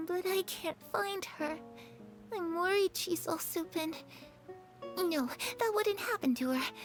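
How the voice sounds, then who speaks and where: Young girl, game character, very high voice, soft and confiding, wistful, faint music behind. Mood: dreamy. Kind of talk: game cutscene voice acting.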